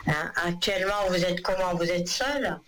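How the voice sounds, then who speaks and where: Hesitant higher-voiced male psychic host. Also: moderate pace, noisy background. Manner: hesitant, many fillers, reassuring. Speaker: clairvoyant phone-in host. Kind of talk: phone-in psychic reading.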